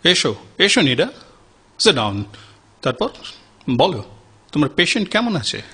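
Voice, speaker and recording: A man acts a kindly senior doctor in an audio drama. His husky, slightly high voice moves expressively as he advises with measured authority, slipping in English phrases. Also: sing-song, bright, forceful tone, heavily acted. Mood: authoritative, kindly. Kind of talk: Bengali audio drama acting.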